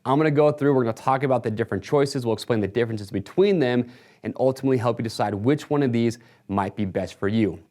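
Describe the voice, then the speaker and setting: A man's voice: medium pitch, quick pace, darkish tone. Man presenting a product walkthrough. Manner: casual, explanatory sales patter. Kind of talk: product walkthrough for a retailer video.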